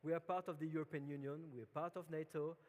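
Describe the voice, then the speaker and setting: A man from France with a French accent, giving a relaxed, chatty conference talk with many fillers and restarts. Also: a little high, fast talker. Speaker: conference speaker. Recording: long hall reverb.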